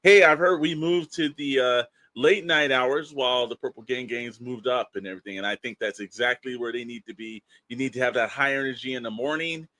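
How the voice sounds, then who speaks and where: A man's voice: mid-range voice, fairly quick, few pauses, pushed and bright, dry recording, poor audio. A man co-hosting a podcast, chatting casually to listeners and promoting the show. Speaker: podcast co-host.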